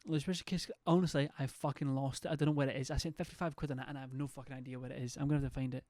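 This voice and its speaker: A man's voice: medium pitch, flat delivery, racing, a little mellow, dry recording. A young man, chatting casually and swearing freely as he reads viewer messages on his stream. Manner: loose, sweary, rambling.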